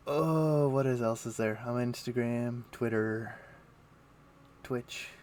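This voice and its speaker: A man's voice: medium pitch, slow, darkish tone, slight background noise. A man hosting a casual gaming livestream, rambling and thinking aloud with mild, old-fashioned turns of phrase. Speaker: livestream host. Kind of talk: casual gaming stream chat.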